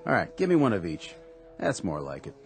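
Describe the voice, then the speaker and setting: An actor playing a down-on-his-luck former pitcher in a radio drama, humble and eager. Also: wildly swooping pitch, fairly quick, vocal fry, heavily acted. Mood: plain. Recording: radio play with music underneath.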